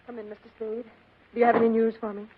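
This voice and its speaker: A demure young schemer from a vintage radio mystery. She talks quickly in an earnest, coaxing, schoolgirlish manner, on a thin, phone-like old recording. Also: fairly high pitch, completely flat delivery, husky. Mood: anxious, demure. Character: demure, pleading, scheming young femme fatale. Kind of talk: 1940s radio drama acting.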